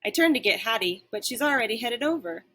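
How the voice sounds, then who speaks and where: A woman's voice: on the high side, expressive, a little fast, sharp tone. A woman reading a children's story aloud like a teacher, expressively, with dramatic and varied emphasis.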